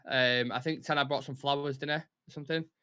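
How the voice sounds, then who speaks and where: Blunt Mancunian man, bright voice. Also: mid-range voice, fairly even intonation, fast, clear, resonant tone. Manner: blunt, sweary, opinionated. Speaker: football watch-along host. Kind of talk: casual live match commentary and chat.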